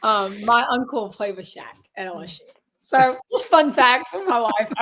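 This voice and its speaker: A woman in her twenties with a raspy, hoarse voice, chatting casually as a guest musician from a closet at her grandparents' house. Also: a little high, animated, takes it slowly, a crowd in the background. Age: 20s. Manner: upbeat, laughs often, many fillers. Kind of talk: casual interview chat.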